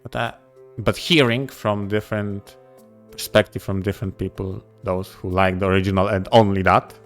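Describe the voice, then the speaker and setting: A man with a fairly low voice talks casually as a podcast co-host. His phrasing is deliberate and broken into short, halting pieces. Soft music plays faintly behind him. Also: fairly even intonation. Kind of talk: casual discussion of visual novels.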